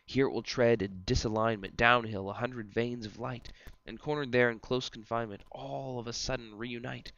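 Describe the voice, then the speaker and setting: A man's voice: medium pitch, fairly even intonation, normal speed, a bit bright, highs cut off, lightly acted. A man playing a boisterous, commanding devil guide, theatrically declaiming verse in an amateur dramatic reading. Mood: wondering.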